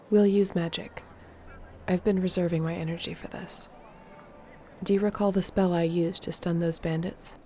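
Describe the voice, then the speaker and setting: A woman playing an earnest, determined fantasy sorceress in an intimate audio roleplay, speaking in a measured, softly formal way. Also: fairly low pitch, creaky, slight background noise.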